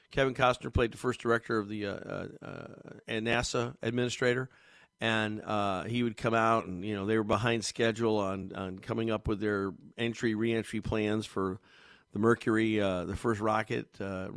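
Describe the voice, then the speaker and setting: A man's voice: fairly low pitch, fairly bright tone. A man hosting a talk radio show, congested, rambling casually with many fillers and restarts.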